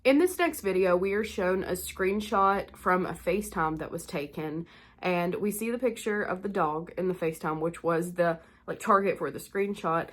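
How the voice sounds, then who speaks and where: A woman's voice: lowish voice, moderate pace. A young woman hosting a spooky vlog, sounding stuffed-up and nasal and a bit short of breath, chatting casually with lots of fillers. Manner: chatty, rambling with many "like" fillers. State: congested, nasal from illness. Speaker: spooky-content creator and host. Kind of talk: casual chat to camera.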